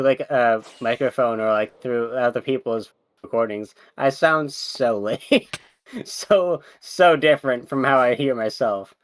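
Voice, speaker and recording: Young man, casual stream talk, rambling, laughing, medium pitch. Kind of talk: casual chat.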